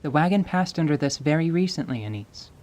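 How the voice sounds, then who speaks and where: A man voicing a composed, considerate female winged warrior who speaks softly in measured, formal phrases for a fantasy audio drama. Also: on the high side, expressive, close to an everyday voice. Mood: gentle, steady. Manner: soft-spoken, measured, formal.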